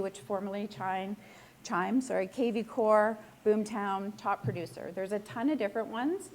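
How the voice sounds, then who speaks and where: A hoarse woman who is close to losing her voice gives a casual talk to a room. She speaks as a real estate agent, chatty and self-deprecating, and often turns questions to the audience. Her pitch sits in the middle of the range. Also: darkish tone, a little hiss or hum. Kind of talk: casual live presentation to a room.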